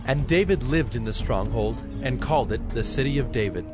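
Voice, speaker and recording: A man narrating scripture from a script in a formal, measured storytelling manner. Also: mid-range voice, animated, over music.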